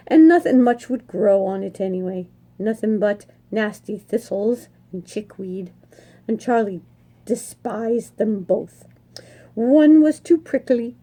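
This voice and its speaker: A middle-aged woman, a children's librarian, reading a picture book aloud in an expressive storytelling manner. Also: a little high.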